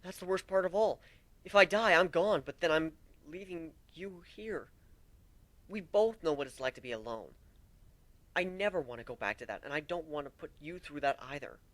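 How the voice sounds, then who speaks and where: A man's voice: on the high side, expressive, moderate pace, a bit bright, no echo, pitched above the performer's own voice. A man voicing a wounded male griffin captain in a story, wry, plaintive and emphatic, blaming himself yet devoted. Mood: anguished.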